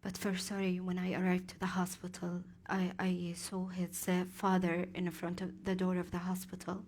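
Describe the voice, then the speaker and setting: A woman interprets for a grieving mother at a panel, her voice somewhat low and flat in pitch. She works hesitantly, filling gaps with hums and fixing her own words mid-sentence. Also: moderate pace. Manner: hesitant, with fillers and self-corrections. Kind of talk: consecutive interpreting.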